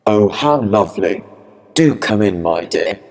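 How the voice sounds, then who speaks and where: A woman voicing a sly, hungry storybook wolf who poses as a sweet grandmother in a croaky, cackly voice. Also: very deep voice, lively intonation, measured pace, darkish tone, pitched below the performer's own voice, exaggerated voice. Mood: sly, coaxing.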